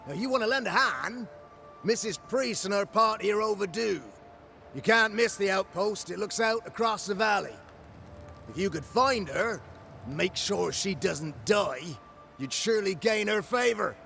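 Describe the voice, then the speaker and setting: Gravelly, high-pitched dutiful fort guard. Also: male voice, expressive, unhurried, bright, forceful tone, soft background music, full character voice. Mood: grave, bargaining. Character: wry, dutiful male fort guard on duty. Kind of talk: video game cutscene acting.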